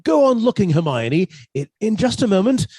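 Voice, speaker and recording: A man's voice: high-pitched, sing-song, normal speed, warm tone, breathy edge, no room sound, heavily acted. A man playing a henpecked husband turned murderer in a radio suspense drama, fussy, precise and politely menacing. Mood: nervous, coaxing.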